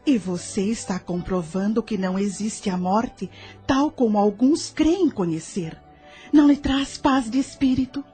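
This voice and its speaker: A woman with a Brazilian accent playing a serene, patient spirit guide with a soft, sweet voice in an audio drama. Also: mid-range voice, lively intonation, unhurried, faint music behind, lightly acted. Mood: serene, instructive.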